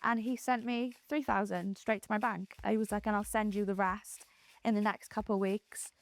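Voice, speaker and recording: A woman's voice: mid-range voice, steady tone, moderate pace. A young woman from Wales with a Welsh accent, speaking bluntly and matter-of-factly in a documentary interview. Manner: blunt, matter-of-fact, unapologetic. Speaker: streamer interviewed in a documentary clip.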